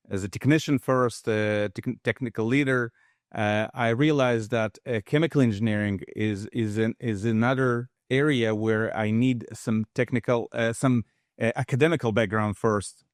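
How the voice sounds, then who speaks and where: A man in his fifties from Russia, a guest expert speaking in a measured, halting way with frequent restarts. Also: fairly low pitch, no echo, studio quality. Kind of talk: casual interview talk.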